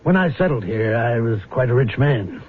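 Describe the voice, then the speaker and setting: An actor playing a middle-aged, self-deprecating rich husband in a radio drama, hesitant, courteous and cryptic, with a husky voice. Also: male voice, mid-range voice, expressive, normal speed. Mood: cryptic, brooding. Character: troubled wealthy betrayed husband, secretive and self-deprecating godfather. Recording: muffled old radio drama recording.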